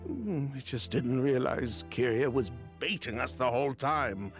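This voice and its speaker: A rueful businessman played by a man recounts his past in a grave, measured game performance. His mid-pitched voice moves widely up and down over faint music. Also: lively intonation, lightly acted. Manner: measured, dramatic storytelling. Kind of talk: video game voice acting. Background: soft game music.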